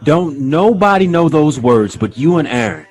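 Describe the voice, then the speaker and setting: Husky, teasing party guest. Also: male voice, on the high side, sing-song, normal speed, a little mellow. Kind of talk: period audio drama acting.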